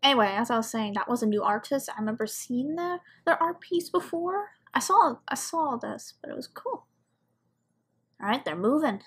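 A woman's voice: fairly high pitch, expressive, normal speed, fairly bright tone, slightly rough. A woman giving casual, off-the-cuff commentary as host of a reaction video.

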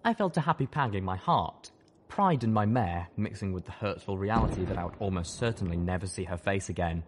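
Casting: male audiobook narrator, low voice, dramatic first-person storytelling over noisy rumbling effects. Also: expressive, brisk.

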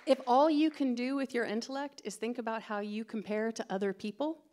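A woman's voice: on the high side, steady tone, a little fast, darkish tone, a faint crowd. A middle-aged American woman from California doing a chatty, self-deprecating stand-up set on a theatre stage into a stand microphone. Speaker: stand-up comedian. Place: theatre stage before a live audience.

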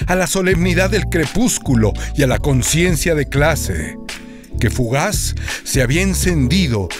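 A man reads in a slow, declamatory voice, his pitch rising and dropping for rhetorical emphasis. Music plays clearly behind him throughout. His voice sits at a middle pitch for a man. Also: big pitch swings. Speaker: reader. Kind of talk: dramatic reading of a literary speech. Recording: reading over music.

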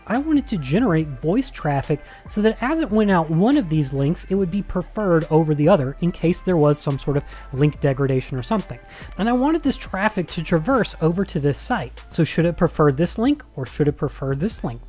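A man's voice: fairly high pitch, darkish tone. A man in his thirties with an American accent, explaining a tutorial in a conversational, instructive and emphatic way into a close studio mic. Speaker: instructor and video host. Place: small home studio room. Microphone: close studio mic on boom arm.

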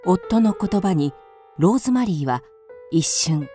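Narrator: composed woman, lowish warm voice, scripted storytelling for radio drama. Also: animated, a little slow, faint music behind.

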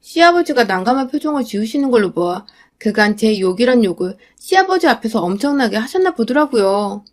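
A young adult woman reading a scripted first-person radio story in a steady, confiding way. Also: fairly high pitch, a little fast.

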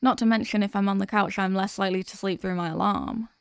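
A woman playing a sweet, sleepy workaholic girlfriend in an ASMR audio roleplay, speaking softly and hesitantly with affection. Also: medium pitch, fairly even intonation, fast, vocal fry, dry recording, natural voice. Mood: sleepy, giving in.

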